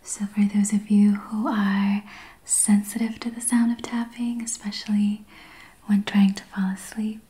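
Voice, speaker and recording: A woman speaking in a breathy whisper, gently and soothingly guiding a healing session in ASMR style. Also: medium pitch, level tone. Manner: slow, gentle, soothing guidance. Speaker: ASMR host, Reiki practitioner.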